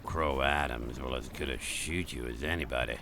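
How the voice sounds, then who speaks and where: A gruff, irritable old father who grumbles out short replies in a radio play. His voice is extremely deep and gravelly, with faint noise behind it. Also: moderate pace, sharp tone, heavily acted. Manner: curt and grumbling. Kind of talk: radio drama acting.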